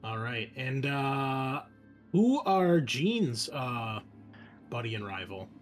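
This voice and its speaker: A man's voice: mid-range voice, big pitch swings, slow pace, faint music behind. A man hosting and running a tabletop game in a casual, enthusiastic, sweary, conversational way. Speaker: game master and host.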